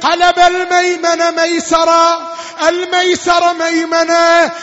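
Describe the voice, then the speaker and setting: A man delivering a half-chanted Shia lamentation sermon as a religious reciter, in an anguished, wailing, drawn-out voice. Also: very high-pitched, monotone, sharp tone. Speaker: religious mourning reciter and preacher. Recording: long hall reverb, dull lo-fi sound, music-like backing.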